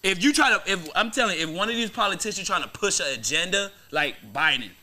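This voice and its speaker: Bright, forceful young American man. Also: sits high, lively intonation, quick pace. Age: young adult. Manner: slangy, profane, argumentative. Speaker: podcast host. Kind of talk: casual banter and debate. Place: small podcast studio, indoors. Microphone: close boom-arm microphone.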